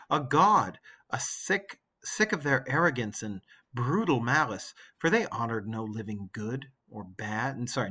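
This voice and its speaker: A man playing a guarded, doubting queen in a story read aloud, subdued and measured. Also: medium pitch, sharp tone, husky, pitched below the performer's own voice, heavily acted. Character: guarded, doubting middle-aged queen and mother.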